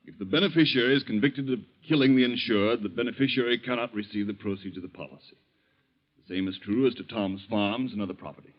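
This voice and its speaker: A man plays a measured lawyer in a vintage radio drama. The voice is low, formal and explanatory. The old recording sounds dull. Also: close to an everyday voice. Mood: matter-of-fact. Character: measured, matter-of-fact male attorney delivering bad news. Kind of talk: 1940s radio drama acting.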